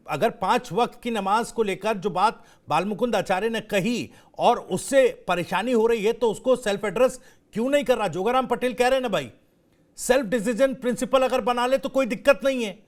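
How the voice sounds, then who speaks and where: A man anchoring a live TV news debate, putting pressing, challenging questions to panelists. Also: high voice.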